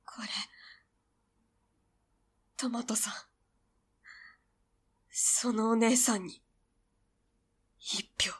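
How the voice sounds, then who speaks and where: Actress as stubborn fragile girl, audio drama, whispery, high-pitched, very slow, halting. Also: fairly even intonation, heavily acted. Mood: numb, halting. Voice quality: breathy, whispery. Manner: hesitant, halting.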